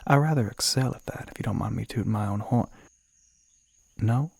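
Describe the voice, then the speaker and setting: A man playing a suave, flirty crossroads demon in an ASMR roleplay, with a courtly, teasing Southern US drawl that often drops to a soft breathy murmur. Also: on the low side, normal speed, no echo. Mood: amused, businesslike.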